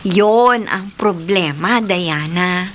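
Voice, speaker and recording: A woman's voice: on the low side, very slow, fairly bright tone, slight background noise. A woman playing a blunt, gossipy young Filipina friend with emphatic horror radio drama delivery. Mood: teasing, blunt.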